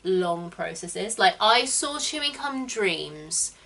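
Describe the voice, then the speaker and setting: A young woman hosting a casual chat, explaining her advice with quick asides. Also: mid-range voice, animated, gravelly, pushed and bright. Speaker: host.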